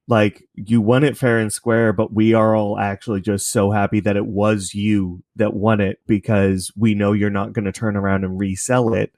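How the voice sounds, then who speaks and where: A man's voice: on the low side, darkish tone, dry recording, clean studio sound. A man from Canada talking casually as an interview guest and telling anecdotes. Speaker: guest, podcast creator.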